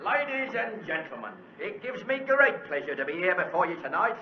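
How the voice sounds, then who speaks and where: A man playing a pompous, flustered stage magician with florid, halting showman patter in 1940s radio style. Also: sits high, big pitch swings. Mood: grandiose. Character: pompous, flustered music-hall stage magician addressing his audience. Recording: echoey, dull, noisy old radio recording.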